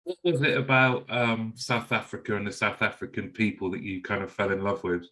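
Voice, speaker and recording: Friendly low-voiced male podcast host. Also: fairly quick, pushed and bright. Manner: friendly, conversational, rambling questions. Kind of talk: podcast interview chat.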